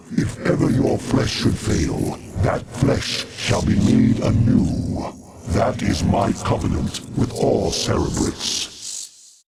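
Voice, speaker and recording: A man voicing an ancient, godlike alien hive mind for a video game, grandiose and commanding, with solemn archaic phrasing and a gravelly voice. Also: mid-range voice, heavy background noise. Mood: pleased, grandiose. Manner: solemn, slow, archaic pronouncements. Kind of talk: video game villain monologue.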